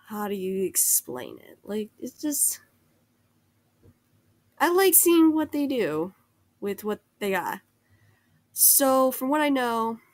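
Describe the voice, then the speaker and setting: A woman's voice: mid-range voice, no echo. A woman who is sick with a cold gives a casual, rambling art critique over a screen recording, hesitating and restarting often. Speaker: art critique video host.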